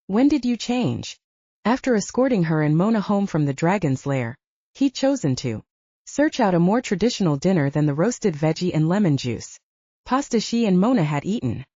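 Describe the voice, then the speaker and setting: Expressive low-voiced woman narrating fiction. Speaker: audiobook narrator. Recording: dull lo-fi, roomy sound.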